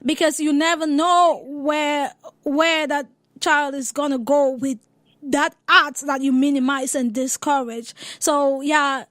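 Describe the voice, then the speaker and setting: High-pitched woman hosting radio. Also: fairly even intonation, slow, a bit bright, dry recording. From Africa. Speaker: radio show host. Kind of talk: casual radio interview.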